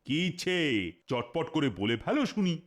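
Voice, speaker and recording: A man's voice: on the high side, expressive, normal speed, close to an everyday voice. A man voicing a gullible, hot-tempered king in a Bengali comedy cartoon, with a blustering, theatrical delivery. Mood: stern. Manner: blustering, stammers when flustered. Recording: music behind the voice.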